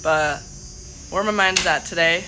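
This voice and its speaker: A young adult woman chatting casually to camera in a rambling, halting way, often trailing off. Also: fairly low pitch. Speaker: vlogger. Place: various spots on the go in Bali. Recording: noisy ambient phone-style vlog recording.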